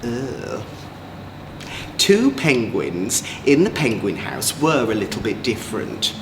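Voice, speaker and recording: A man's voice: mid-range voice, wildly swooping pitch, takes it slowly. Campy man storyteller, swooping, theatrical delivery. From England. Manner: campy, playful, exaggerated, chatty asides to young listeners. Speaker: drag queen children's storyteller. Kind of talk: theatrical children's story reading. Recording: roomy echo, faint background noise.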